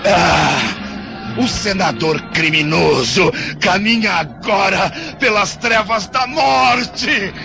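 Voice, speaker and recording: Rebelde desafiador, voz aguda e rouquenha. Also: male voice, high voice, animated, slow, vocal fry, sharp tone, full character voice. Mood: defiant, scornful. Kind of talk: radio drama acting. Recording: dull radio-drama recording, music behind.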